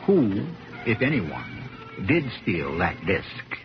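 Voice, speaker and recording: An urbane host narrating a 1970s radio mystery. His delivery is theatrical, philosophical and sardonic, with very animated intonation. Music plays behind him on a dull old broadcast recording. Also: deep voice, slow pace, exaggerated voice. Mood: knowing, teasing.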